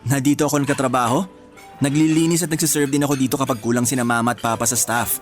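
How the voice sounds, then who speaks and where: An actor playing a gentle, sincere young man in love in a Filipino radio romance, earnest and lightly teasing. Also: mid-range voice, steady tone, fast talker, natural voice. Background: music.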